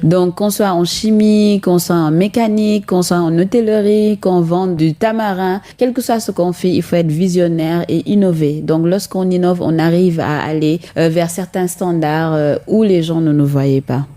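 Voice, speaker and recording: A woman, giving earnest, measured answers with frequent hesitations as a radio interview guest. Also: lowish voice, fairly even intonation, warm tone. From Senegal. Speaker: guest, chemist and entrepreneur.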